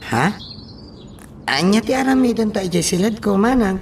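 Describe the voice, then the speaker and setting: A woman's voice: mid-range voice, lively intonation, moderate pace, close to an everyday voice. A woman acting a naive, timid young housemate in an Ilocano radio drama, hesitant and questioning. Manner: questioning, hesitant, surprised interjections. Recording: slightly distant mic, faint background noise.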